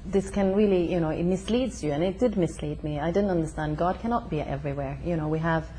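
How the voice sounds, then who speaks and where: A woman from Sweden, a guest in an interview at her home, who speaks haltingly with many fillers. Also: on the low side, dark tone, a little hiss or hum. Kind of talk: conversational interview.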